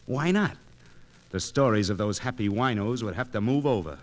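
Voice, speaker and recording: An actor playing a driven, wisecracking newspaper reporter in a 1940s radio noir drama, recorded on a transcription disc. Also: male voice, lowish voice, expressive, moderate pace, lightly acted. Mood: driven, guilt-ridden. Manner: terse, wisecracking, world-weary. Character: hard-boiled, driven male newspaper reporter narrating his case. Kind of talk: 1940s radio noir acting, wry narration.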